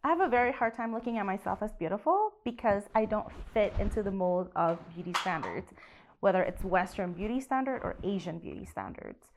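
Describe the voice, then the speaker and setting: A woman's voice: medium pitch, sing-song, brisk, slight background noise. Woman from Indonesia, co-host, frank and easygoing in conversation. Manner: candid, laughs easily. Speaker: podcast co-host. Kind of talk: casual chat.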